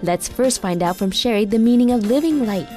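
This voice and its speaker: A woman's voice: fairly high pitch, lively intonation, brisk. A woman reading scripted documentary narration. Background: music behind the voice.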